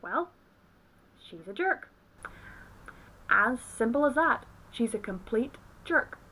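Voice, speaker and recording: A woman's voice: fairly high pitch, expressive, takes it slowly, sharp tone, husky, rough recording. A woman giving a scripted film review commentary. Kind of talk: scripted review commentary with acted intro skit.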